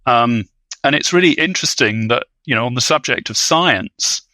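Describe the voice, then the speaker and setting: A man with a bright, forward voice talks as an analytical podcast panelist. He is articulate and scholarly, choosing bookish words, recorded close and dry. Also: medium pitch, expressive, normal speed, no room sound, low-quality recording. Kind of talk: analytical film discussion.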